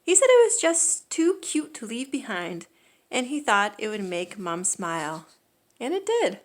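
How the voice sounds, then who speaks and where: A woman reading a children's picture book aloud as a storyteller, with expressive, emphatic delivery. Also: a little high, unhurried.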